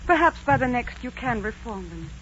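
An actress playing a noble, steadfast young Aztec princess in earnest 1940s radio acting style. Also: female voice, on the high side, sing-song, normal speed, a bit bright. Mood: urgent pleading. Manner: earnest, solemn, theatrical. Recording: muffled 1940s radio recording, faint noise.

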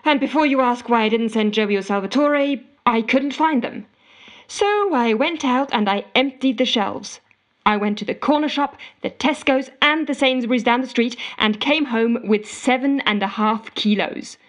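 A woman's voice: a little high, normal speed, lightly acted. An actress playing an exasperated, frantic office worker, telling her woes melodramatically in an audio drama voicemail monologue. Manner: melodramatic, indignant storytelling with sarcastic quoting. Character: exasperated, frantic office woman ranting to a colleague.

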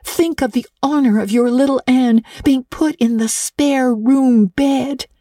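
A woman's voice: sits high, unhurried, warm tone, dry recording, clean studio sound, voice pushed up. A woman voicing an eager, imaginative young girl in a storybook reading, breathless, gushing and earnest as she pleads. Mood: pleading. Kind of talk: dramatic audiobook reading of classic literature.

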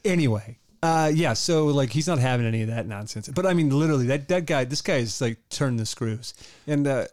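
A man's voice: mid-range voice, fairly quick, darkish tone. A man co-hosting a casual movie podcast, laid-back and chatty, peppering his talk with "man" and "dude". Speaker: podcast co-host.

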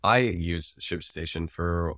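A man's voice: low-pitched, slow pace, bright, forceful tone, no echo, clean studio sound. A man co-hosting a podcast, talking casually and thoughtfully as he weighs up his opinions. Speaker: podcast co-host. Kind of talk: casual discussion and review of ads.

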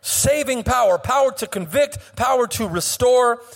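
High-voiced hoarse man preaching in a hall. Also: lively intonation. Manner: impassioned preaching with sung, drawn-out phrases and frequent restarts. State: slightly hoarse. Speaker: pastor. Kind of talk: sermon. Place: church sanctuary.

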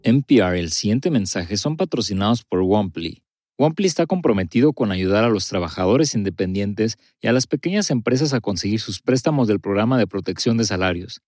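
A man reading a sponsor message in a steady, clear promotional delivery. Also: lowish voice, fairly quick, dry recording, clean studio sound, faint music behind. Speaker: sponsor announcer. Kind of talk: scripted ad read.

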